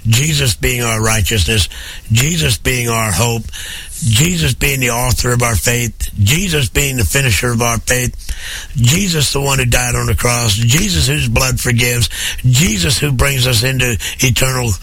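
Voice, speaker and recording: A man preaching fervently on a program while hoarse with a head cold, building his points through emphatic, rising repetition. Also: mid-range voice, moderate pace, a bit bright, no echo, low-quality recording. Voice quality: husky.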